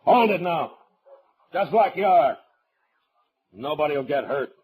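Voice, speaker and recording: An actor playing a stern, unflinching western marshal in an old-time radio drama, speaking in a curt, commanding, steady manner. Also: male voice, on the high side, measured pace, husky, phone-quality sound, full character voice. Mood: loud, commanding. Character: stern, unflinching frontier US marshal, grown man.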